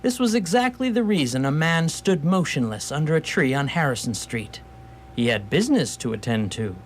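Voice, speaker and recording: A man tells a radio theatre story as its narrator. His voice is on the high side and swings widely in pitch. His phrasing is wry and wordy, with a literary bent. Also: sing-song, normal speed.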